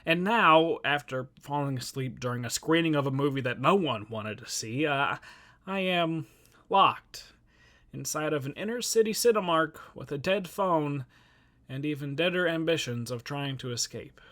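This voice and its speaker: A young man performing a dramatic monologue as an anxious, self-deprecating nonbinary moviegoer, halting and rambling as he interrupts himself. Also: fairly high pitch, animated, a bit bright. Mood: exasperated.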